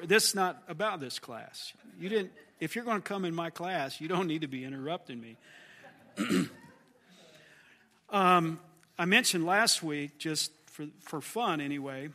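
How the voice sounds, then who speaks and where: A man with a slightly hoarse voice leading a church class, praying aloud and then chatting casually with the group. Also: a little high, sharp tone. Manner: earnest, folksy, jokes with the class. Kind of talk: spoken prayer and casual class talk.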